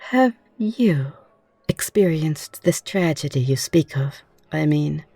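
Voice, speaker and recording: Casting: actress for courteous fledgling vampire lady, low voice, close dry sound, hopeful and inquisitive, ASMR roleplay. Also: animated, takes it slowly, gravelly, warm tone, breathy edge, dry recording, heavily acted. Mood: concerned, gentle. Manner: formal, genteel, reassuring phrasing. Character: optimistic, curious, courteous newly turned vampire woman. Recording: music behind the voice. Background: music.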